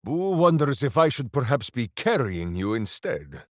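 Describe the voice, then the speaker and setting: Casting: boisterous, earnest berserker hero, fantasy game; unhurried delivery, wildly swinging pitch. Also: male voice, big pitch swings, no echo, studio quality. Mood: gruff, earnest. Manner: bombastic, earnest, talks of himself in third person. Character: big, simple, good-hearted berserker hero, boisterous and heroic. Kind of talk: fantasy video game voice acting.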